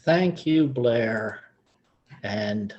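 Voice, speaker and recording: A man's voice: medium pitch, animated, very slow, darkish tone, highs cut off. Male host, informal, introduces acts at a virtual event. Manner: informal, thanks and introduces performers. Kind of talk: hosting a virtual cultural event.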